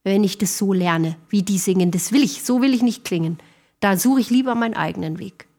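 A woman's voice: medium pitch, a little fast. A middle-aged woman, a singer, talking reflectively as a guest in a podcast interview.

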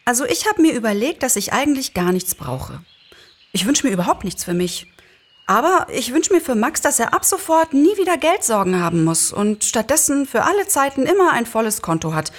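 A woman's voice: fairly high pitch, big pitch swings, fairly quick, pitched above the performer's own voice. A woman voicing a hesitant but generous female character in a storybook reading, speaking in a chatty way. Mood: eager, generous.

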